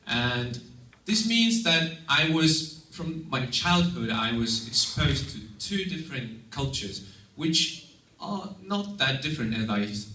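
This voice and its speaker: A man's voice: mid-range voice, pushed and bright. Young man with a Russian accent, roomy. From Estonia. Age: young adult. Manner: earnest, measured, with occasional restarts. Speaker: exchange student giving a presentation. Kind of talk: prepared public talk. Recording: roomy sound, mic at a distance.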